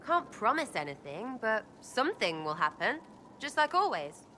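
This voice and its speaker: A woman's voice: on the high side, very animated, normal speed, sharp tone, a little hiss or hum. A woman voicing a cheeky, irreverent young elf rogue in a video game, breezy and slangy with a British accent. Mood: earnest, scheming. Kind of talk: video game dialogue acting.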